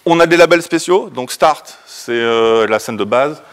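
A man, giving an informal technical talk as a conference speaker, in a casual, colloquial, hurried manner. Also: mid-range voice, expressive, clear, resonant tone. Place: indoor presentation room, standing by a projection screen.

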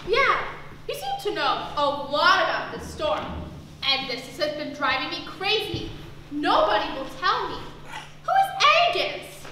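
A woman's voice: high voice, sing-song, measured pace, sharp tone, a little hiss or hum, exaggerated voice. A young woman on stage playing a polite, doubtful new store employee in a comedy. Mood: curious. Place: school theater stage. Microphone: distant camera in the audience. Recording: echoey theater stage from far off.

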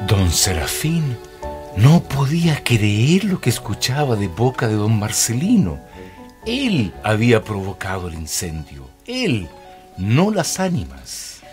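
A man narrating a scripted radio drama as a measured storyteller. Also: fairly low pitch, huge pitch swings, full character voice. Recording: music behind the voice.

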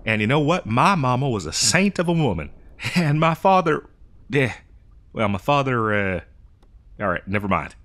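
A man's voice: medium pitch, very animated, normal speed, fairly bright tone. Guy doing a folksy old west drifter, exasperated n rambly, voice swings up and down, comedy audio roleplay. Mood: defensive. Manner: folksy, rambling, hesitant improvised delivery. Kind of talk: improvised comedic audio roleplay addressed to the listener.

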